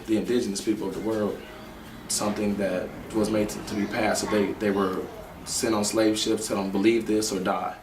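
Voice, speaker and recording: A man in his twenties giving long, earnest interview answers. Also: lowish voice. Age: 20s. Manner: earnest, explaining at length. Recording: roomy echo from a distance with faint noise.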